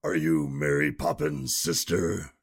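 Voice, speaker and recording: Very deep gravelly gruff man acted. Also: fairly even intonation, measured pace, full character voice. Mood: sardonic, wary. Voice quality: gravelly, husky. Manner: dry, sardonic. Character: gruff, sarcastic, skeptical man on a rescue adventure. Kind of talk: audio drama acting.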